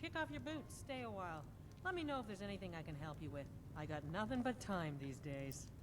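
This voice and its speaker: An actress playing a plain-spoken, gossipy innkeeper in a fantasy game, talking in a frank, folksy way. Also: female voice, medium pitch, animated, fairly quick, noisy background, close to an everyday voice. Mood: welcoming.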